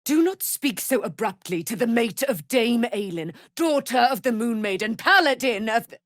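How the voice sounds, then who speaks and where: An actress playing a proud, wrathful immortal warrior paladin in a fantasy video game, declaiming in grand archaic, formal language. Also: female voice, a little high, animated, moderate pace, no echo, lightly acted. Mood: proud, commanding.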